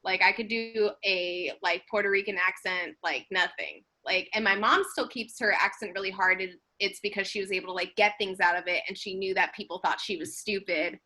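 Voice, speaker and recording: Brief: actress on a panel, crisp bright voice, rambling casual chat. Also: female voice, mid-range voice, fairly even intonation, a little fast, hardly any pauses, rough recording. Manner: chatty, many filler "like"s, laughs often.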